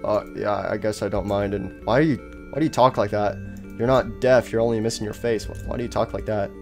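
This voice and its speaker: A man voicing a calm, oblivious animatronic fox who stammers and rambles in an amateur comedy skit. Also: fairly low pitch, brisk, creaky, soft background music. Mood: hesitant, puzzled.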